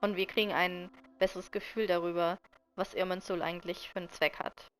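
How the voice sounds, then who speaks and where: A woman's voice: on the low side, flat delivery, fairly quick. A woman giving casual let's-play commentary as a game streamer, reflective and thinking aloud. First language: German. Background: game music.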